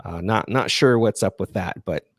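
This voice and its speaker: A man hosting a podcast livestream, chatting casually and answering listener questions in a halting, self-effacing way. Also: lowish voice, a bit bright, no room sound, studio quality. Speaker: podcast creator and livestream host.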